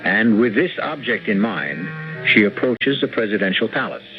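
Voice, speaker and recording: An actor as a grave storyteller, giving dramatic scripted narration for an old-time radio drama. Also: male voice, fairly low pitch, animated, moderate pace. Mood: grave, suspenseful. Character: grave storyteller of a political thriller. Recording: muffled old radio recording, music behind.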